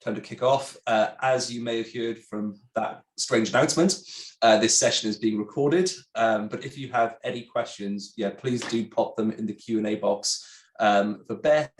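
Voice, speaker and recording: A congested man with a chatty, halting manner, a sales director presenting a webinar. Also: on the low side, steady tone. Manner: chatty, many ums and restarts. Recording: roomy echo.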